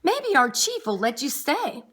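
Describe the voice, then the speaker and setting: A friendly, gentle fireman from a picture book, acted out by a woman reader, hearty and coaxing. The voice is bright and swings freely up and down. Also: sits high, very animated, measured pace, pitched above the performer's own voice, full character voice. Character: friendly, gentle male fireman in a children's storybook.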